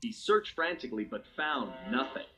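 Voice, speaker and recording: A suave American master thief tells his own story as a video game narrator. His delivery is wry, confident and unhurried, at a middling male pitch. Music plays beneath the voice. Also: big pitch swings, sharp tone. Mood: concerned. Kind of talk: scripted first-person cutscene narration. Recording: music under the voice.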